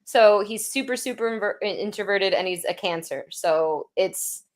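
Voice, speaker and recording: A woman co-hosting a casual livestream chat, excitable and quick to laugh. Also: mid-range voice. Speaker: podcast co-host.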